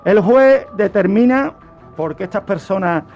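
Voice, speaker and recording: High-voiced Spanish man explaining true crime. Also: lively intonation, measured pace, a little mellow, lo-fi sound, faint music behind. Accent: Spanish, from Spain. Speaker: true-crime segment presenter on a radio show. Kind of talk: semi-scripted explanation of a crime case.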